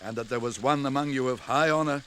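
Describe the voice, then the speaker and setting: An actor playing a wise, stern old wizard in British fantasy radio drama, with measured, weighty, archaic speech. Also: male voice, mid-range voice, fairly bright tone, a little hiss or hum, close to an everyday voice. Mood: kindly, cautioning.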